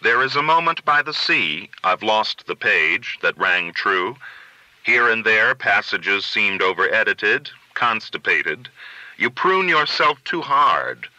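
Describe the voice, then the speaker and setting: Man with sharp, forceful reading voice. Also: lowish voice, sing-song, slow, no room sound, rough recording. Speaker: audiobook reader. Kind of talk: literary audiobook narration.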